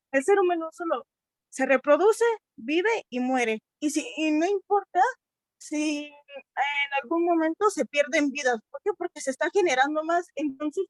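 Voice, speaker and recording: A sixteen-year-old Mexican girl with a Mexican accent, speaking earnestly at a youth colloquium, often pausing to search for words and restarting her sentences. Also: high voice, dry recording. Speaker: essay contest participant. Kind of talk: unscripted talk at a youth colloquium.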